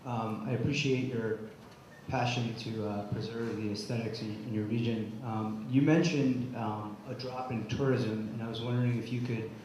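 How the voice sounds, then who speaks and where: A man's voice: medium pitch, fairly even intonation, moderate pace, darkish tone. A courteous man with a mid-range voice asks a question from the floor at a public forum. The room is strongly echoing, and there is faint noise behind him. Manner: polite, courteous. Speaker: audience member questioning a panel. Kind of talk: polite spoken question at a forum. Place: public meeting hall. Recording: very echoey hall, faint background noise.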